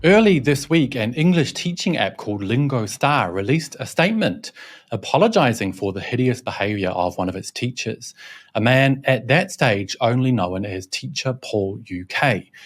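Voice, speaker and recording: A young man hosting a scripted news commentary, mocking and scornful in tone. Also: medium pitch. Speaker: host, reporter. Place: Shanghai.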